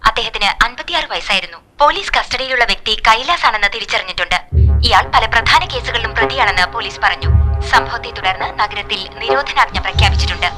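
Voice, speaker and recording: A woman playing a TV news reader in a dubbed film, reading a formal bulletin in a crisp manner. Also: a little high, lively intonation, fast, sharp tone, soft background music.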